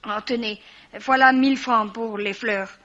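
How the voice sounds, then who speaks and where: A nervous, embittered foreign woman living in a hotel. She speaks in a fairly high voice, brusque and agitated, in a radio mystery play with a muffled, lo-fi sound. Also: steady tone, measured pace, bright, forceful tone. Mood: curt.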